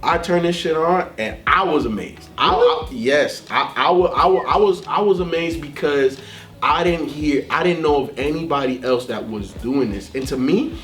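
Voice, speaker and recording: American guy from the south on a music panel, kinda high voice, repeats himself for emphasis, swears, bit of music behind. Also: normal speed, fairly bright tone. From southern United States, Florida. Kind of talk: casual panel chat.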